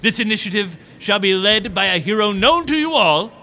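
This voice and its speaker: High, bright, pompous fantasy ruler played by a man. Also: expressive, normal speed, a little hiss or hum, exaggerated voice. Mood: grandiose. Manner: grandiose speechifying with petulant asides. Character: pompous, excitable fantasy kingdom leader giving proclamations. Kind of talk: comedic fantasy audio drama. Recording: music and faint crowd behind.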